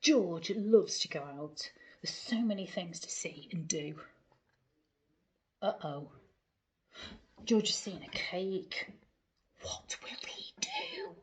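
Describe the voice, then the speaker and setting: Lady doing school storytime, super slow n animated, hushed asides, lots of questions. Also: mid-range voice. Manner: theatrical, asks the listener questions, hushed asides. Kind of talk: expressive picture-book reading for young children.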